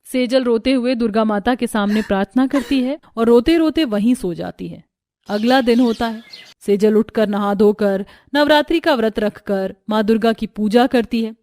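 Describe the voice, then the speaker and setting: Woman, narrator, scripted moral story, higher pitch, dry close sound. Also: normal speed, a little mellow, no echo.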